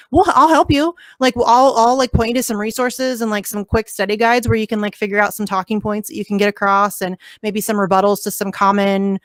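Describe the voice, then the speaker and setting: Woman hosting a livestream, voice going hoarse and cracking, fired up and rambly, dry close sound. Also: mid-range voice, dry recording. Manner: emphatic, filler-heavy, passionate. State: losing her voice, cracking. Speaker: vigil livestream host. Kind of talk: casual livestream chat.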